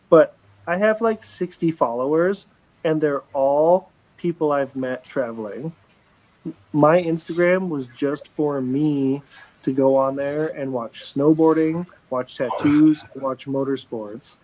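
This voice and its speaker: A man in his forties speaking at a middle pitch, with an everyday voice. He talks casually and earnestly, circling back and restarting his thoughts as he goes. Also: a little mellow, poor audio. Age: 40s. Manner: rambling and earnest, with frequent restarts and casual swearing. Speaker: livestream show regular. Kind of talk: casual live chat.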